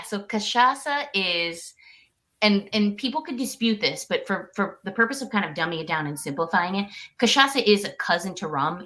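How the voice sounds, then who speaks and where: A woman's voice: medium pitch, a bit bright. A woman in her thirties from the United States, a podcast guest talking casually from home over a call, chatty and laughing often.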